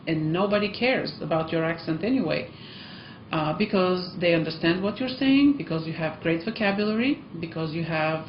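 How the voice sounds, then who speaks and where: A woman's voice: lowish voice, slight background noise. A woman from Eastern Europe with an Eastern European accent, a language school teacher chatting encouragingly to learners, with many ums and uhs. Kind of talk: casual vlog talk to learners. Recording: echoey, muffled room sound.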